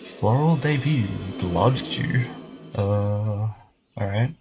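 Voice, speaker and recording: A man's voice: lowish voice, extremely slow. A man casually livestreaming a game, reacting offhand and reading lines aloud. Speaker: game streamer. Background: game music.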